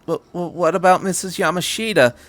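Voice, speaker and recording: A man's voice: sits high, fairly even intonation, moderate pace, fairly bright tone, no room sound, pitched above the performer's own voice. A man plays a troubled boy of fourteen in a story reading. He is timid and speaks in short, hesitant questions, unsure of himself. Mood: worried. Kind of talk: audiobook-style story reading.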